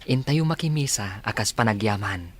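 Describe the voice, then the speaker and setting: An actor with an Ilocano accent playing an affectionate young elder brother in a radio drama. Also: male voice, fairly low pitch, animated, moderate pace. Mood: warm, pleased.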